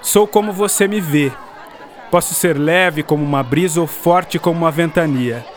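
A man's voice: on the high side, moderate pace, close to an everyday voice. A man playing a thoughtful teacher in a radio drama, speaking in a conversational, poetic manner. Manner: conversational, then poetic recitation. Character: thoughtful male teacher reciting poetic lines to a pupil. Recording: faint music and crowd behind.

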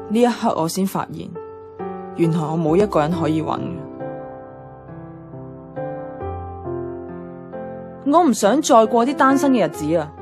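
A woman's voice: on the low side, lightly acted. An actress playing a single young office woman narrating her woes in a radio drama, wry and confiding, with a Hong Kong Cantonese accent. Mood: dejected. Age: young adult.

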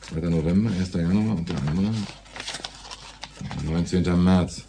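A man's voice: low voice, fairly even intonation, moderate pace, mellow, a little hiss or hum. A man acting a dry, stubborn, brooding police detective in a German radio play. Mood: businesslike. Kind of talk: low-key German radio crime drama.

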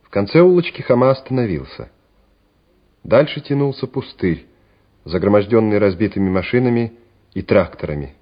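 A man's voice: lowish voice, expressive, moderate pace, breathy edge. A man narrating a radio play in a dramatic, scripted storytelling style. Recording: dull old radio drama recording. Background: music.

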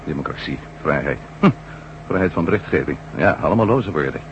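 An actor playing a headstrong, sardonic ex-astronaut turned newspaper reporter in a radio drama, with a wry, theatrical delivery. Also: male voice, extremely low pitch, expressive, a little fast. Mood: bitter, indignant. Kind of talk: radio drama acting in Dutch. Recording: muffled radio drama recording, clear hiss and hum.

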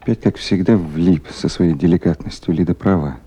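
Dark, husky, restrained male duty officer. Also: deep voice, normal speed. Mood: musing, subdued. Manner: restrained, polite, musing. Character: tactful, deferential male duty officer, quietly thoughtful. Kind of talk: 1970s Soviet radio drama acting.

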